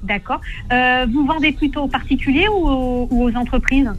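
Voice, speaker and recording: High-voiced chatty radio co-host woman. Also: moderate pace, highs cut off, faint music behind. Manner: chatty, animated, pitches the job like a salesperson. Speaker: radio job-segment co-host. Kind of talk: casual radio call-in chat. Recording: radio broadcast.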